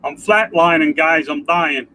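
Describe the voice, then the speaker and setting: An older man with a congested nasal voice, talking in a rambling, halting way in a casual home video update. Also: a little high, bright, forceful tone, low-quality recording. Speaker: vlogger. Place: outdoors.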